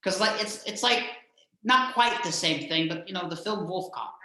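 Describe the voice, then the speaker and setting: Heavy room echo surrounds a man hosting a casual interview. His voice has a bright edge, and he speaks agreeably in halting bursts, repeating words as he forms questions. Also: high-pitched. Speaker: host, interviewer. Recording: very echoey, reverberant room.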